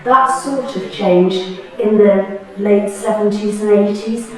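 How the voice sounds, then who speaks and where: A woman of about sixty from Tyneside, thoughtfully recalling her memories in an oral history interview, pausing and restarting as she goes. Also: medium pitch, a little mellow. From Tyneside, England. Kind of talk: reflective personal reminiscence. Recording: very echoey room, distant mic.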